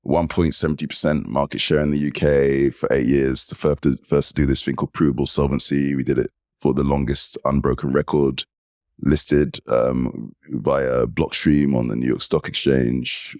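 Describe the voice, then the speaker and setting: A man in his forties with an English accent, telling stories as an animated guest in a casual interview. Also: rumbling low, normal speed, creaky, no echo, clean studio sound. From United Kingdom. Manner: animated storyteller. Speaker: podcast guest.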